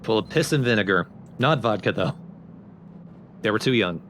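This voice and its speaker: A man's voice: fairly low pitch, lively intonation, moderate pace, fairly bright tone, a little hiss or hum. A man giving casual, explanatory gameplay commentary in an informal way. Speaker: let's play host and player.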